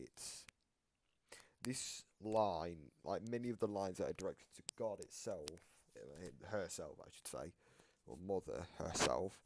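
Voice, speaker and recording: Low voiced guy doing casual reaction commentary, kinda rambles and repeats himself, bit of background noise. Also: darkish tone. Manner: rambling, halting explanations with repeated phrases. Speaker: reactor explaining game voice lines.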